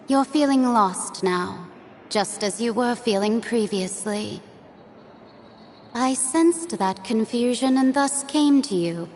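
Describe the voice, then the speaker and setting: A woman playing a mysterious, serene otherworldly guide in a video game, speaking in a measured, formal, enigmatic manner. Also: medium pitch, a little mellow, slight background noise. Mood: calm, teasing.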